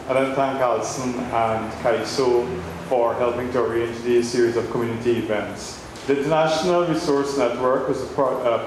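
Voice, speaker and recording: Man in a noisy, echoing hall. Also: mid-range voice. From Guyana. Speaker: board member giving thanks. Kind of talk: speech at a public event. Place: large echoey room at a public event. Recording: very echoey hall, clear background noise.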